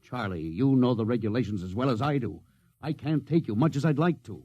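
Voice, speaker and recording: An actor playing a gruff, commanding starship captain with a soft heart, in 1950s radio drama style. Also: male voice, medium pitch, expressive, fairly quick, no echo, lo-fi sound. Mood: regretful, gentle.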